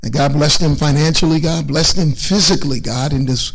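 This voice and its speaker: Middle-aged minister, gravelly and halting. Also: male voice, fairly high pitch, poor audio. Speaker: church minister. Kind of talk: closing announcements and prayer. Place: indoors in an empty church sanctuary.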